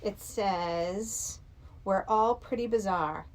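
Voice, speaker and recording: A middle-aged woman from Massachusetts talking casually and a little haltingly as a podcast guest. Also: mid-range voice. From Massachusetts, USA. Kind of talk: casual chat.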